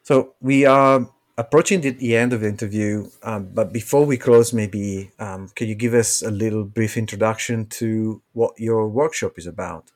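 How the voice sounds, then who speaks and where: A man with a low voice hosts an interview. He asks short, direct questions to move the talk along. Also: fairly even intonation, normal speed, hardly any pauses. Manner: brief, prompting questions. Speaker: interview host. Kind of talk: casual interview.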